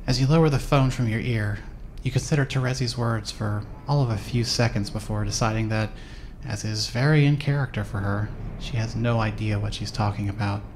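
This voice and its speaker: A man's voice: on the low side, fairly quick, a little mellow, lightly acted. A man reading scripted second-person narration as the narrator of a fan audio drama. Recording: clear background noise and ambience.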